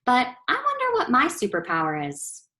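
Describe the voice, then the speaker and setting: Woman voicing an eager, excitable young schoolgirl. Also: fairly high pitch, moderate pace, voice pushed up, heavily acted. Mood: excited. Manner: eager, emphatic. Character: excitable, wide-eyed young schoolgirl, earnest and encouraging.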